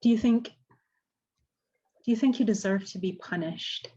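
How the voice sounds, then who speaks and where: A woman's voice: medium pitch, takes it slowly, dark tone, highs cut off, close to an everyday voice. A woman playing a restrained questioner in a radio drama, asking in a hesitant, probing way. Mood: cautious, probing.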